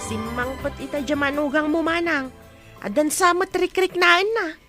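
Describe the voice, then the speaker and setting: A woman's voice: high-pitched, normal speed, over loud music, lightly acted. A woman playing a concerned, kindly, fussing village woman in a radio drama, worried and admonishing. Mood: indignant concern. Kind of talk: Ilocano radio drama acting.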